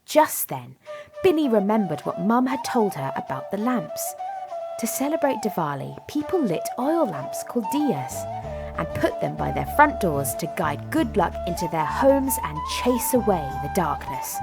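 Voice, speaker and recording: A woman reading a children's storybook aloud in an expressive, dramatic way, softening to a hush at times. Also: mid-range voice, a little slow, vocal fry. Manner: animated, dramatic delivery with softened hushed moments. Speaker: storybook reader. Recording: music behind the voice. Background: music and story sound effects.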